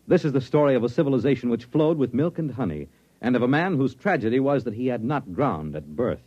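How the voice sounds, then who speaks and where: A man's voice: mid-range voice, expressive, fast talker, darkish tone. An American man working as a 1950s radio announcer, speaking in a formal, portentous, dramatic style. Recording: dull, band-limited vintage radio broadcast. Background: dramatic music.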